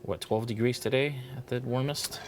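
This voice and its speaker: A man hosting a live miniature painting tutorial, relaxed and chatty, thinking aloud as he paints. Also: medium pitch, normal speed, slight background noise.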